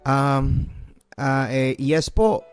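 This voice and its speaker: A man's voice: medium pitch, extremely slow, clean tone. Guy doing a shy smitten young model girl, stammery and giddy, radio drama w music under it. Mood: shy, flustered. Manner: stammering, giddy, shyly polite.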